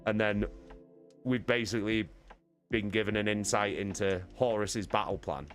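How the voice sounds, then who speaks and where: A man's voice: fairly low pitch, steady tone, normal speed, soft background music. A man, a streamer who moves between casual chat and dramatic book narration. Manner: sweary, informal. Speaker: streamer hosting a live book reading.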